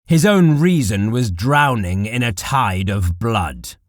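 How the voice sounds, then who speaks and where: A man narrating dark war fiction as a scripted audiobook, in a deliberate, dramatic manner. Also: fairly low pitch, lively intonation, no room sound, studio quality.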